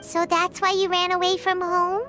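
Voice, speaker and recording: An actress voicing a tiny, eager fairy companion with a squeaky childlike voice in an anime game dub. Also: female voice, monotone, moderate pace, pushed and bright, faint music behind. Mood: concerned. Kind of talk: English-dubbed anime game voice acting.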